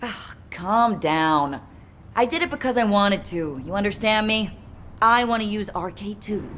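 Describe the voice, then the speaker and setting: A woman's voice: medium pitch, animated, a little slow, fairly bright tone, a little hiss or hum. An actress playing a defiant, revenge-hungry woman soldier in a video game, blunt and weary. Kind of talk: dramatic video game voice acting.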